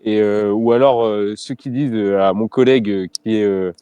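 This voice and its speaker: Man, riot cop in a debate, low and mellow, halting and frank, dry close sound. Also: fairly even intonation, clear, resonant tone, no echo, dull audio. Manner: halting, frank, repeats phrases while searching for words. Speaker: riot police officer.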